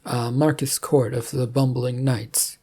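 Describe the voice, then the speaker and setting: Woman as an uneasy, polite knight, husky voice. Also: very deep voice, steady tone, unhurried, warm tone, heavily acted. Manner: hesitant, reasoning. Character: polite, uneasy male knight leading bumbling party.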